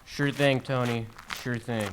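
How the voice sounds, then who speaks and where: A man's voice: mid-range voice, fairly even intonation, a little slow, very noisy, close to an everyday voice. Man playing a chatty, nagging mobster sidekick. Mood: nosy, resigned. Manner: wordy, questioning wiseguy talk. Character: chatty, nagging male mobster deputy, slightly dim. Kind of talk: comedic audio drama acting.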